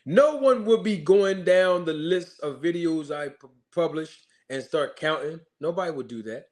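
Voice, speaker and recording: A man's voice: on the high side, lively intonation, moderate pace, a little mellow. A male channel host giving an emphatic commentary rant, mocking critics with repeated rhetorical questions.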